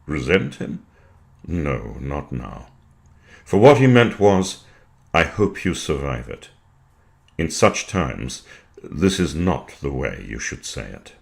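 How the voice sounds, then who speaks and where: A man reading poetry in a measured, dramatic style, with deliberate, precise phrasing and weighted pauses. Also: rumbling low, sing-song, creaky. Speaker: poetry reader.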